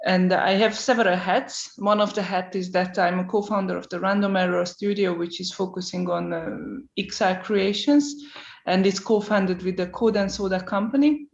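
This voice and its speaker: Woman, brisk and fluent, echoey room, muffled video call. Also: medium pitch, steady tone, creaky. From Romania. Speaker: panelist, researcher. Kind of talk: online panel introduction. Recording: echoey dull call audio.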